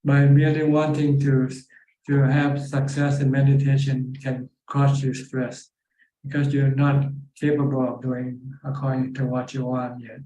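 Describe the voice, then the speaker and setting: A man with a Thai accent who teaches meditation, answering a caller's questions on a live video call in a calm, patient, plain instructive way. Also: medium pitch, a little slow, roomy sound, poor audio.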